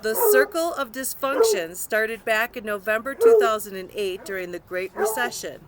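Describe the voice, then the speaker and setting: A woman's voice: on the high side, big pitch swings, normal speed, over a noisy crowd. A woman narrating a scripted nonfiction audiobook, reading aloud.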